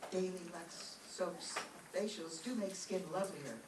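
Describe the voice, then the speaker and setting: A sincere soap testimonial acted by a woman in old-time radio style. The voice is low for a woman and sits far off in an echoey, noisy room. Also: a little slow, close to an everyday voice. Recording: echoey room, distant mic, clear noise.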